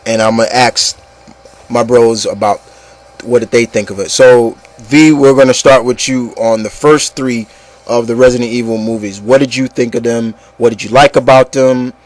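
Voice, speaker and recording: A man's voice: mid-range voice, fairly even intonation, moderate pace, rough recording. A man hosting an opinion show, chatting casually and informally to his listeners and co-hosts. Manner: informal, conversational, addressing listeners as guys. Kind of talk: casual opinion chat about movies.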